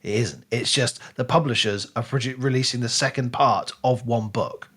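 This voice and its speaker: A man's voice: mid-range voice, normal speed, a bit bright, slightly rough. Emphatic man in casual discussion. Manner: emphatic, repeats words for emphasis. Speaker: book podcast co-host.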